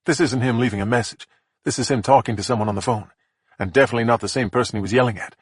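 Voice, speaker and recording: A man's voice: medium pitch, rapid-fire, husky, no room sound, clean studio sound, natural voice. A man voicing a methodical female police investigator who talks in a level, matter-of-fact way. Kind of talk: audiobook character voice.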